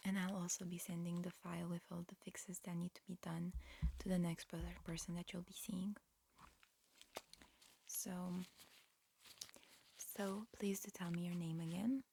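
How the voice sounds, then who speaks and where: A woman performing a whispered ASMR roleplay, mixing breathy whispers with soft speech in a halting, matter-of-fact, instructional manner. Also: on the low side, level tone, a little hiss or hum. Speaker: ASMR performer playing an alien examiner.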